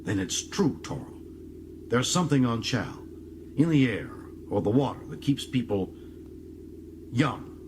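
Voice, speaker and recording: An actor playing a resolute middle-aged starship captain in an audiobook, questioning in an earnest, emphatic way. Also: male voice, medium pitch, very animated, measured pace, long pauses, noisy background, voice pushed up. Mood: probing.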